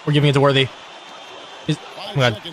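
A man giving casual, excited gameplay commentary in a slangy, exclamatory way. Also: medium pitch, quick pace, a little hiss or hum. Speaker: gaming video host, player.

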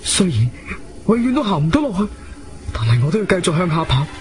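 An actor playing a frightened, despairing young man trapped and near panic, halting and repeating himself in a Cantonese radio drama. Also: high voice, big pitch swings.

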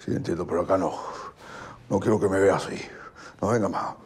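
An actor with a Rioplatense accent playing a proud, desperate gaucho locked up, swinging between soft comfort and furious threats. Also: male voice, low voice, fairly quick, husky. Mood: bitter. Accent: Rioplatense Spanish. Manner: swings from soft consoling to shouting rage. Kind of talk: drama acting.

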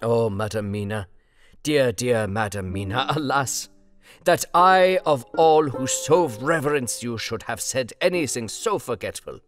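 A man's voice: medium pitch, animated, measured pace, natural voice. A man plays an elderly learned professor, grave and kindly, speaking with earnest, formal weight in a storybook reading. Faint music plays beneath his mid-range voice. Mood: remorseful. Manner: solemn, earnest old-world formality.

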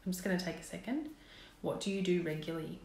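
A woman in her thirties with an Australian accent and a slightly croaky voice, a parenting coach talking earnestly to viewers in a casual live stream, asking them questions. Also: lowish voice, fast, darkish tone, a little hiss or hum. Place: indoors at home, small room. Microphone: phone camera, close framing. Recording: roomy echo in a home room.

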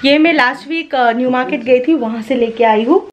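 A woman's voice: sits high, a little fast, muffled recording. A woman in her thirties with an Indian accent chats casually to camera as a vlogger, switching between Hindi and English. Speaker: pet vlogger. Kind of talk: casual vlog chat to camera. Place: indoors, home bedroom and cafe. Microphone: camera at arm's length.

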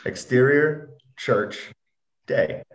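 A man's voice: mid-range voice, very slow. A young man reading screenplay stage directions aloud as narrator on a video call. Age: young adult. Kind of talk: dramatic screenplay read-aloud. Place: room at home, on a video call. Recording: roomy, echoey video-call audio.

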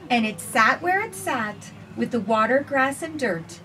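A woman in her forties reading a picture book aloud for children with expressive, sing-song phrasing, recorded outdoors on a porch. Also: on the high side, unhurried. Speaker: children's storytime reader. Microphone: camera a few feet away. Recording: distant camera mic, faint outdoor noise.

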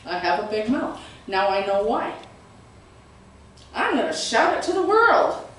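A middle-aged woman preaching in a small church, her strong, carrying voice pitched a little high. She is picked up from across the room with no microphone, so the sound is distant and heavily echoey, with a faint hiss underneath. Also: measured pace. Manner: loud voice that carries, animated and gesturing. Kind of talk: sermon. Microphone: far camera, no microphone.

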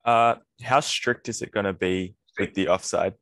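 Man, very low voice, hesitant questions, dry distant call audio. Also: steady tone, fairly bright tone, no room sound. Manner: hesitant, asks in halting bits. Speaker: hockey player.

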